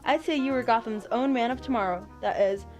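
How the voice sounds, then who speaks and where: An actress playing a breezy, flattering female newspaper reporter in an audio drama. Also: a little high, brisk, faint music behind, close to an everyday voice.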